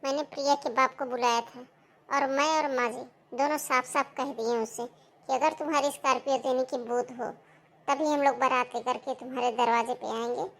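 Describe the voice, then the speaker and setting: A woman's voice: high-pitched, steady tone, moderate pace, fairly bright tone. A woman voicing a manipulative married sister-in-law who talks in a coaxing but blunt way. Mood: scheming. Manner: coaxing, then blunt and cold.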